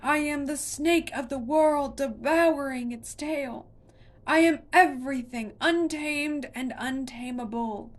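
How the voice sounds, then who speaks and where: A woman doing a booming ancient tree monster. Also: a little slow, few pauses, mellow. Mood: roaring. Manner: booming, declaiming. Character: towering ancient tree monster, male, grand and menacing. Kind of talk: storybook reading.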